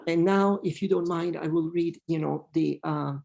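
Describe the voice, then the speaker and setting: A man with an Italian accent, a native Italian speaker, giving a hesitant lecture full of fillers and slowly reading poetry aloud. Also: fairly high pitch, steady tone, gravelly, a little mellow. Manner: hesitant, many fillers, reads verse slowly. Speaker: literature professor.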